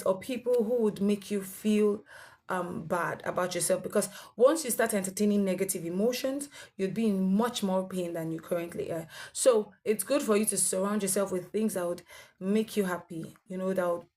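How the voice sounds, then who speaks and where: A young woman giving earnest, instructive health advice to her viewers. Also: medium pitch. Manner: earnest, instructive, with fillers and restarts. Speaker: health vlogger with sickle cell. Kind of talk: advice monologue to camera.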